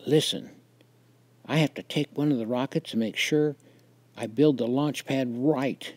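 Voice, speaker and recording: A man's voice: mid-range voice, unhurried, darkish tone, no room sound, pitched above the performer's own voice, close to an everyday voice. Man playing a pushy, wheedling big boy. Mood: coaxing. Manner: insistent, coaxing.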